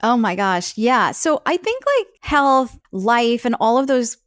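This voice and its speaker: A woman's voice: a little high, lively intonation, unhurried, no room sound, clean studio sound. A woman in her fifties, a health coach born in Peru whose first language was Spanish, chatting casually in an interview and often saying "like". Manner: chatty, many "like" fillers, laughs easily.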